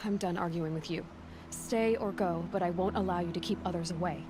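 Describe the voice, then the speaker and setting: A woman's voice: fairly low pitch, a little fast, over music, natural voice. A woman voicing a self-assured young female hunter in a video game, speaking in a direct, wry way. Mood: firm, scornful.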